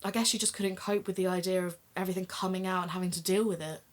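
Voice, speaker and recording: A woman's voice: on the low side, steady tone, fast, natural voice. An actress in an audio drama playing a sympathetic woman who co-hosts an investigative podcast, speaking conversationally.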